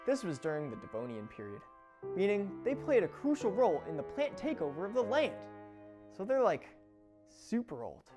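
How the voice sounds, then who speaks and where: A woman's voice: medium pitch, big pitch swings, normal speed, over music, close to an everyday voice. A woman playing a breezy, rebellious host of a plant show, with a casual, theatrical manner and exasperated asides. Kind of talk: comedic educational show presenting.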